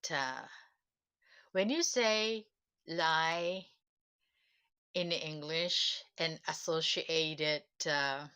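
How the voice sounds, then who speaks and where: A woman with a Japanese accent, a native Japanese speaker, giving a reflective solo livestream talk in halting English, pausing to search for words. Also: sits low, bright, forceful tone, clean tone. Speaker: self-help channel host.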